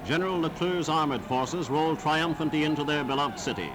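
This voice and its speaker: A man's voice: fairly high pitch, brisk. A man narrating a 1940s wartime newsreel in a formal, grandiose, declamatory style. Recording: noisy old 1940s newsreel soundtrack.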